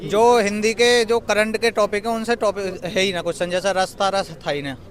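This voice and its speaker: A man's voice: sits high, steady tone, normal speed. A young man, an exam candidate giving a plain-spoken, complaining informal review. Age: young adult. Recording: busy outdoor street, crowd and traffic noise.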